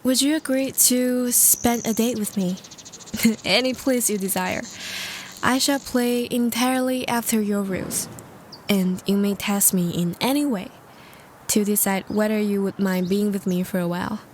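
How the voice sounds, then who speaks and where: A woman's voice: medium pitch, a little slow, slight background noise, natural voice. A woman playing a courteous, smitten old-world vampire, speaking formally and intimately in a roleplay audio drama. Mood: charmed, courteous. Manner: formal, old-fashioned diction, tender and breathy.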